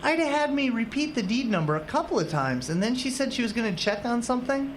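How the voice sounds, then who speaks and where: An earnest young Midwestern husband played by a man, easygoing yet determined. His pitch moves in a lively way as he talks conversationally in a radio drama, with faint noise behind him. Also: fast talker. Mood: thoughtful.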